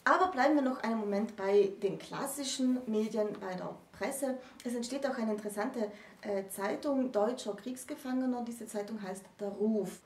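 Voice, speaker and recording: A woman in her thirties explaining literary history in a conversational, deliberate lecture style as a co-presenter. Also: on the high side. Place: small indoor room with bookshelves. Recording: roomy echo, distant microphone.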